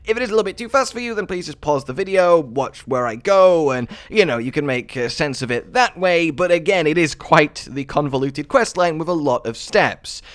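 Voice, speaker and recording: A man's voice: on the high side, animated, moderate pace, hardly any pauses, no echo, clean studio sound. A man giving an enthusiastic video game guide voice-over in a chatty, wordy, playfully dramatic way.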